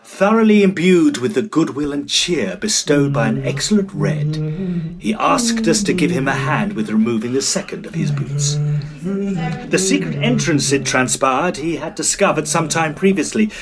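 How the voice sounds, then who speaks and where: A man playing an easily flustered Edwardian gentleman ghost hunter, earnest and old-fashioned, in a tongue-in-cheek audio drama. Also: on the high side, close to an everyday voice.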